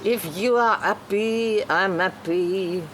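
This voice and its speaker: A woman's voice: medium pitch, slow pace, lightly acted. A woman playing a haughty, disdainful French male dressmaker with a cutting manner in a screenplay table read. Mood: exasperated. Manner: cutting, snooty. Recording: clear background noise.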